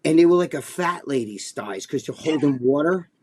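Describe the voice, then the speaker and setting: A man's voice: normal speed, husky. An older man with a New Jersey accent, telling a story on a casual podcast. He swears often and acts out quoted lines in a raised voice. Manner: animated, profane, acts out quotes in a high voice. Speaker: podcast co-host.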